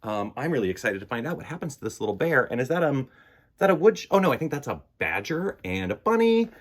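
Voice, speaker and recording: A man presenting children's storytime, reading and chatting in a playful, expressive way. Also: mid-range voice, fast talker. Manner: playful, expressive, dramatic shifts in volume. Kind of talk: animated picture-book read-aloud and casual chat.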